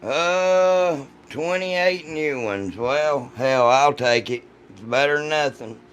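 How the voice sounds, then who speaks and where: This is a man with a rough, weathered voice hosting a casual livestream. He talks in a folksy, joking, foul-mouthed way as he answers his chat. A little background noise sits behind his voice. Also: slow, a bit bright. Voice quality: deep, rough voice from vocal cord polyps.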